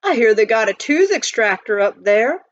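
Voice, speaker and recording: Woman doing a gruff frontier miner. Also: on the high side, big pitch swings, normal speed, voice pushed up. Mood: curt. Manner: folksy, clipped frontier talk. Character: gruff, plain-spoken male miner with swollen cheek. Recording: dull lo-fi close recording.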